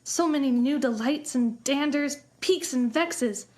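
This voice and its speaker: Actress as a starry-eyed prim lady missionary, high voice, super flowery and gushing, western comedy audio play. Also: unhurried, a little mellow. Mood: breathless delight. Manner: flowery, grandiloquent, effusive.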